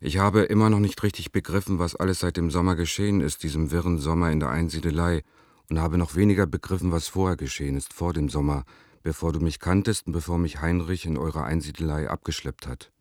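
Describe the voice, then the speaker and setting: A man's voice: sits low, fairly even intonation, fast, darkish tone, no echo, studio quality, natural voice. An actor playing a wistful lover who reads his letter to an absent girlfriend in a radio drama, tender, brooding and plain-spoken. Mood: melancholy, brooding. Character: Leon, wistful lover writing to departed girlfriend.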